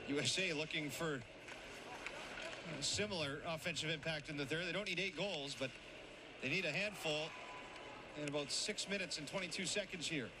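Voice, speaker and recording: A man calling hockey play-by-play in a brisk, running commentary. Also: fairly high pitch. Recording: broadcast with loud arena noise.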